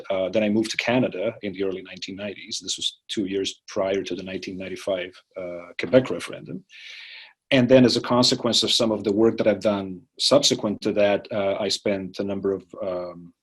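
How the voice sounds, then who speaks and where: A man from Croatia talks through his academic work in an interview. His voice sits low and slightly husky. He hesitates often, filling pauses and restarting sentences as he gathers his thoughts. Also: fairly even intonation. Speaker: interviewee, political science academic. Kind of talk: unscripted interview answers.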